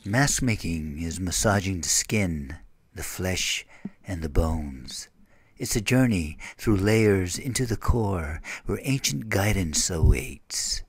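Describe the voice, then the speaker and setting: A man reading scripted poetic narration in a measured, hushed, meditative manner. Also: deep voice, expressive, breathy edge.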